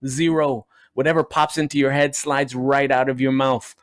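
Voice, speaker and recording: A man's voice: medium pitch, flat delivery, normal speed, dry recording, clean studio sound. A man in his forties from Trinidad and Tobago doing an upbeat, self-mocking stand-up set for a virtual show, recorded at home. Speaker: comedian. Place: indoors at home.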